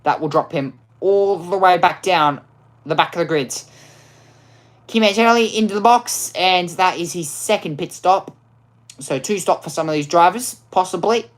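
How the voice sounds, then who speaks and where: A woman commentating a racing game live, excitable, often repeating and restarting phrases, her voice worn out. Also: lowish voice, normal speed.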